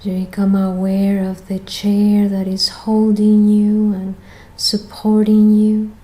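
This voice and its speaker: A woman leading a guided meditation as the guide, speaking in a gentle, soothing manner with long pauses between phrases. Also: medium pitch, completely flat delivery.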